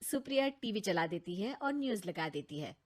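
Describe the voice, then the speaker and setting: A woman's voice: medium pitch, big pitch swings, normal speed. A woman reading scripted narration for a story.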